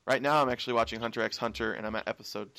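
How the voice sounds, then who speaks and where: A man's voice: mid-range voice, steady tone, rapid-fire, creaky, bright, forceful tone, no echo. A young American man from Washington State hosting a casual podcast chat, rambling and laughing as he tells stories. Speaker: podcast host.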